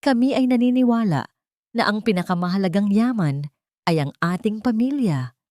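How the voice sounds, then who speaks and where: A woman's voice: medium pitch, expressive, normal speed, a little mellow, no echo, studio quality, pitched above the performer's own voice, close to an everyday voice. A woman voicing a haughty, insincere society matriarch in a pompous speechmaking manner for an audio drama. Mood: falsely sincere.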